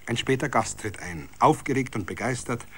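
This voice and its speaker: A male narrator tells a literary story for radio. His voice is mid-pitched with expressive rises and falls, and he phrases it in a measured storyteller's way. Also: husky. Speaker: narrator and reader of all parts.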